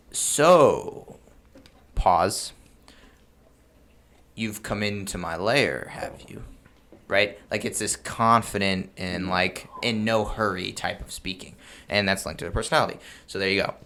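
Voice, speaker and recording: A man with a fairly low voice hosts a podcast. He explains in a casual, conversational style, leaning on filler words and breaking off to rephrase himself. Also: pushed and bright, smooth. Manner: informal, frequent filler words and self-interruption. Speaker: podcast host giving game master advice.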